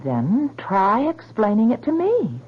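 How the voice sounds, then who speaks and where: A plucky, excitable saleswoman in a vintage radio play. Her voice is high and slightly husky, swinging widely as she insists and frets. The old recording sounds dull. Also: slow, heavily acted. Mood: firm, questioning. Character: anxious, persistent female real estate agent, plucky and excitable. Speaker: radio drama actress. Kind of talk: 1970s radio drama acting.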